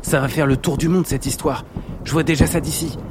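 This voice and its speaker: A man's voice: fairly high pitch, steady tone, fairly quick, noisy background, close to an everyday voice. A man playing a keen rebel accomplice in a satirical audio drama. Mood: pleased, conspiratorial. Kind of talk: satirical audio fiction acting.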